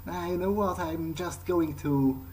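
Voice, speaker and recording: Slow, halting man thinking aloud. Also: fairly high pitch, a little mellow, slight background noise, low-quality recording. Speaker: player of a role-playing video game, speaking in character. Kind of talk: improvised in-character playthrough monologue.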